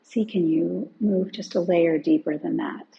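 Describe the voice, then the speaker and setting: A woman teacher leading a guided relaxation in a gentle, unhurried way, leaving long pauses between phrases. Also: lowish voice, vocal fry, dull audio, slight background noise. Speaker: meditation and yoga teacher.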